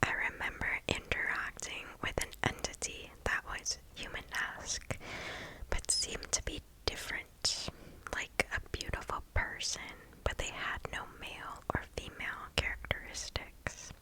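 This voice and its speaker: A woman reading a story aloud for ASMR in a hushed, unvoiced whisper, deliberate and very close to the microphone. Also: low-quality recording. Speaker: ASMR host.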